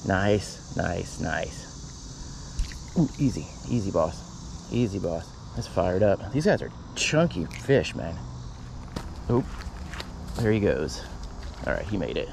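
Low-voiced hyped-up fishing vlogger man. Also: slow pace, gravelly, pauses often, background noise. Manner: excited, hyped-up exclamations. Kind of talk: casual fishing vlog narration. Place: outdoors by a canal.

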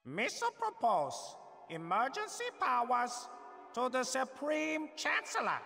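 Casting: goofy, apologetic amphibian alien, very high and wildly swooping, game cutscene. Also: male voice, sing-song, a little slow, music in the background, full character voice. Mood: earnest, halting. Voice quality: squawky, creaky. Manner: broken pidgin phrasing, bumbling. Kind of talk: cartoonish video game cutscene acting.

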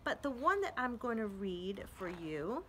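Middle-aged lady reading poems out loud on a porch, takes her time with pauses, some street noise behind. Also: medium pitch. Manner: unhurried, expressive reading with deliberate pauses. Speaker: children's librarian reading to an online audience. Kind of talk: poetry read-aloud with casual asides. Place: outdoors on a house front porch. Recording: outdoor traffic noise, street ambience. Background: street traffic.

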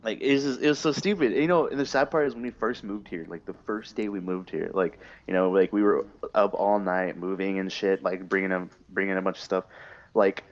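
A man's voice: low-pitched, fairly even intonation, brisk, lo-fi sound. A young adult man chatting casually while gaming, griping and rambling with plenty of swearing. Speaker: gamer telling stories while playing. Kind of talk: casual chat during online gameplay.

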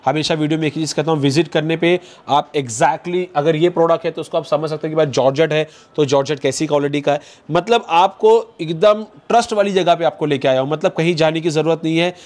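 A man in his thirties hosting a vlog, pitching clothes to viewers in quick, persuasive patter, recorded on a lapel mic in an indoor shop. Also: darkish tone. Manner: fast, persuasive salesman patter addressing viewers. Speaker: vlogger host. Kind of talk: promotional market vlog.

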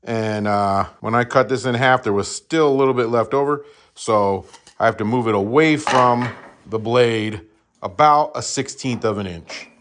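A man's voice: medium pitch, normal speed, a bit bright. A middle-aged man hosting a woodworking vlog, talking casually and rambling with self-deprecating humor as he works in his small garage workshop, recorded on a clip-on mic. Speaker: woodworking channel host. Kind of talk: casual how-to vlog talk.

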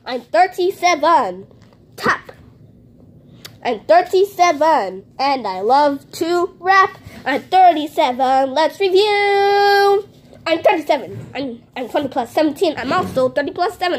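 A woman's voice: very high voice, voice pushed up. A woman voicing a cheerful, boastful male number block who chants rhymes in a sing-song way while playing with paper cutouts at a home table, recorded on a close phone. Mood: upbeat. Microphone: handheld phone camera close to table.

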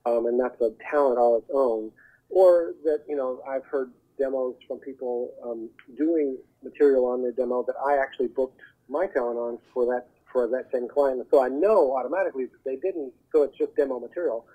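A man giving explanatory advice as an interview guest over the telephone, haltingly searching for his words. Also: medium pitch, breathy edge. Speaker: guest, voiceover agent. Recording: muffled narrowband phone line.

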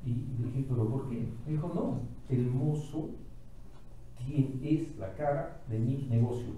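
A middle-aged man giving a conference talk, telling anecdotes in short, emphatic, deliberate phrases. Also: mid-range voice, expressive, mellow. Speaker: conference speaker. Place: indoor auditorium, speaking at a podium. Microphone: distant camera microphone. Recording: echoey hall from far off, faint noise.

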